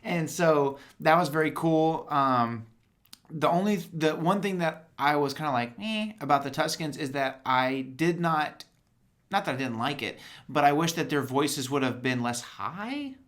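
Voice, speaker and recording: A young man chatting casually about a TV episode, excitable and slangy with lots of fillers. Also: fairly high pitch, a little slow.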